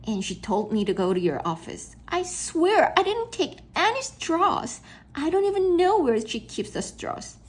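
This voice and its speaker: A woman voicing a cheeky, literal-minded young schoolboy in a children's storybook, earnest and quick to protest. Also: very high-pitched, big pitch swings, pitched above the performer's own voice, full character voice. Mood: defensive.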